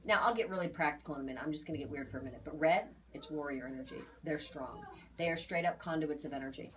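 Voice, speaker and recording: A woman's voice: low voice, quick pace, a bit bright, slight background noise. A woman in her forties giving an informal spoken reading to clients, chatty and emphatic, repeating key phrases. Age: 40s. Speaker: aura reader.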